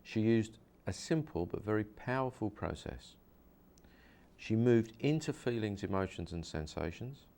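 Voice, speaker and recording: A man's voice: fairly low pitch, animated, measured pace, mellow. A man, a hypnosis practitioner, interviewing a guest and explaining his method earnestly, with many repeats and restarts.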